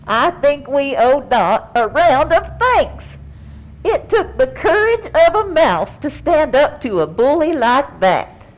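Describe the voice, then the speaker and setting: A woman voicing a kindly, earnest farm animal in a children's puppet show, with exaggerated, drawn-out delivery. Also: high-pitched, very animated, full character voice, poor audio. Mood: grateful, admiring.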